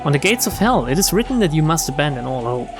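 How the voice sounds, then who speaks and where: Man reading aloud over loud game music. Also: fairly high pitch, big pitch swings, fast. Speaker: game streamer. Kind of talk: dramatic read-aloud of visual novel text.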